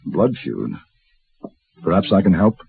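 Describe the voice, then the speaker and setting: An actor playing a cool, cultured gun-for-hire in a 1950s radio drama, assured and direct. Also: male voice, deep voice, big pitch swings, a little slow, creaky, husky, exaggerated voice. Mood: cool, probing. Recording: muffled vintage radio recording.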